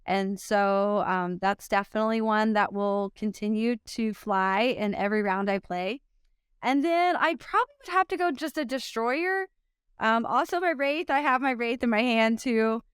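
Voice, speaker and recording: A woman in her thirties from Oklahoma, a pro disc golfer, answering interview questions in an upbeat, chatty way with frequent ums and quick laughs. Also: on the high side, smooth, no echo, studio quality.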